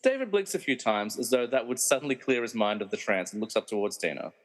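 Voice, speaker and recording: Animated, wry man as narrator, big reverb. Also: medium pitch, fast talker. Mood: storytelling. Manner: wry, theatrical. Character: wry, meddling movie narrator who mocks the characters. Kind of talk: dramatic scripted narration with comic asides. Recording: reverberant hall-like echo.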